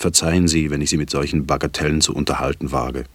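An actor playing a drolly polite, eccentric poet, reciting formal literary lines on stage. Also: male voice, extremely low pitch, steady tone, fast talker, slightly rough, dry recording. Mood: droll. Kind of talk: theatrical literary recitation with music. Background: guitar music.

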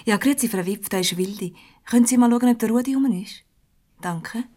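A woman's voice: on the high side, a little fast, a little mellow, lightly acted. Woman as a polite, pert Swiss office secretary. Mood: casual, familiar. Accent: Swiss German. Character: polite but self-assured female office secretary. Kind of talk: 1970s radio comedy acting.

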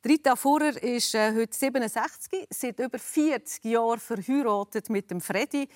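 A woman with a Swiss German accent hosting a Sunday radio talk show, lively and friendly with her guests. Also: fairly high pitch, normal speed, no room sound, studio quality. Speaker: radio host. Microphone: studio microphone.

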